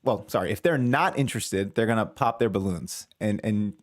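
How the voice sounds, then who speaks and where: A man's voice: lowish voice, moderate pace, no echo, studio quality. A man commenting casually on a dating show video, rambling, correcting himself and chuckling at his own asides. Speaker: reaction video host.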